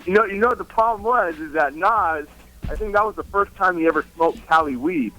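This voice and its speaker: A man's voice: sits high, fairly quick, bright, forceful tone, faint music behind, poor audio. A man from Oakland calling in to a show, eager and chatty as he reminisces. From Oakland, California. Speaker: caller. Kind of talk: call-in chat.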